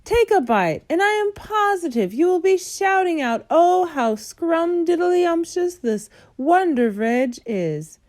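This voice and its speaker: A woman voicing a gentle, clever male giant who speaks with playful, mangled grammar and made-up words. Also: fairly high pitch, sing-song, a little slow, no echo, clean studio sound, pitched above the performer's own voice. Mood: coaxing, playful.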